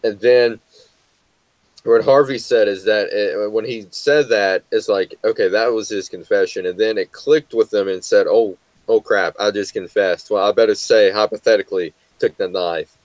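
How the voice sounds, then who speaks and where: A man's voice: lowish voice, fairly even intonation, moderate pace, no echo, poor audio. A young American man co-hosting a casual talk show, rambling with lots of fillers and restarts. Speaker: co-host. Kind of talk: casual chat.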